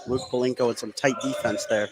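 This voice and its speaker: A man's voice: medium pitch, fairly even intonation, a little fast. A man doing live basketball commentary for radio, explaining the play in an analytical way. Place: indoor basketball gym. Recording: live gym broadcast with faint noise. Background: faint gym noise, bouncing basketball.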